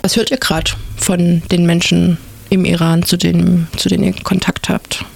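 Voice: mid-range voice, level tone, moderate pace, vocal fry, husky. A radio host in a studio, introducing guests and asking them questions in an interview.

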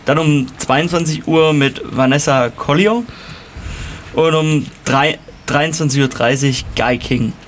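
A man's voice: a little high, fairly even intonation, measured pace. Male radio guest, hesitant delivery, full of fillers, slight noise. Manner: hesitant, many fillers, stumbles over names. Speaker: festival organizer guest. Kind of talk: reading out a program on radio.

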